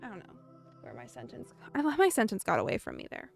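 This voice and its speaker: A woman's voice: medium pitch, wildly swooping pitch, fast talker, creaky, soft background music. A young American woman chatting casually with viewers. She speaks in a meandering, thoughtful way and laughs often. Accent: General American. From United States. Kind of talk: casual chat.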